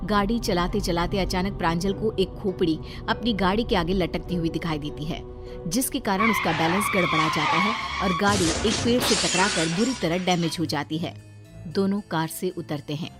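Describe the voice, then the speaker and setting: A woman's voice: fairly low pitch, normal speed, loud music behind the voice. A woman reading a scripted horror story as its narrator.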